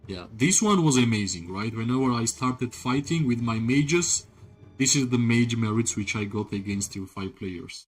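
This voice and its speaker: A man streaming a strategy game and chatting loosely with his viewers. His voice sits at an ordinary middle pitch. He rambles in a casual way, with faint game music playing underneath. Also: lively intonation, normal speed. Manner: rambling, leans on "like" and tag questions "right?"; talks to chat viewers directly. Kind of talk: casual live-stream commentary while playing.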